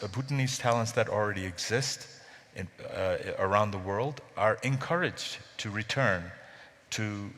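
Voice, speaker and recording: Middle-aged man, conference panelist, low voice, halting delivery, hall reverb. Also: fairly bright tone. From Bangladesh. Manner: hesitant, many fillers and restarts. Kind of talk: panel discussion.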